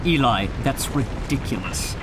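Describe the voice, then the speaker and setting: An actor playing a bookish, quick-witted adventurer in an audio drama, articulate and reasoning. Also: male voice, medium pitch, lively intonation, very noisy, lightly acted. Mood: amazed.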